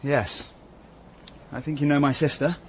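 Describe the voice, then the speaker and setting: A man's voice: a little high, flat delivery, a little slow, a little hiss or hum, close to an everyday voice. A man playing an earnest, upright young Roman officer in a radio drama, speaking with urgency. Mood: earnest, firm.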